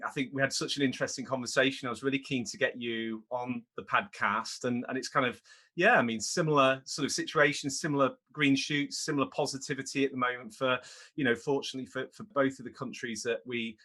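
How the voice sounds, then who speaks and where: Bright-voiced male podcast host. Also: mid-range voice, fairly even intonation, fairly quick. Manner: warm, rambling, friendly questions. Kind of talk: interview host chat.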